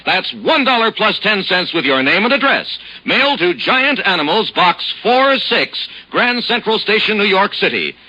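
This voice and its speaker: A man reading a hard-selling, brisk old radio advertisement. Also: high voice, sing-song, bright, forceful tone, highs cut off. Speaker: radio ad announcer.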